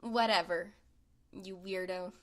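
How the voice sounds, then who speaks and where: A woman's voice: fairly low pitch, fairly even intonation, slow pace. A woman voicing a tough, slangy young girl fighter in a comic dub, talking in a brash, offhand way. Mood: cocky, offhand.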